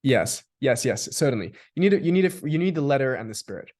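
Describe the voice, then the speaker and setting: A man's voice: mid-range voice, big pitch swings, fast, a little mellow. A man from Australia talks in an ordinary middle register, in a thoughtful conversational tone. He circles back on his words, restarting phrases as he works toward each point. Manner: thoughtful, restarts and repeats words while building long sentences. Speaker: guest. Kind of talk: reflective conversational discussion.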